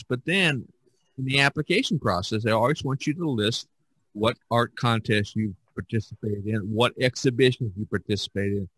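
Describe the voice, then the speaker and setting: A man hosting a casual podcast discussion, thinking aloud in a halting way and repeating words. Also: on the low side, fairly bright tone, dry recording, rough recording. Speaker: podcast host and artist.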